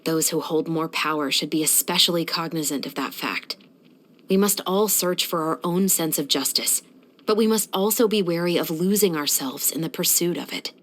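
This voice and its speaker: A woman's voice: sits low, normal speed, a bit bright. An actress playing a stern, dutiful young female patrol captain in a video game, speaking in a composed, authoritative and earnest manner. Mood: grave, earnest. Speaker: video game character voice actor. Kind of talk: scripted video game character voice lines.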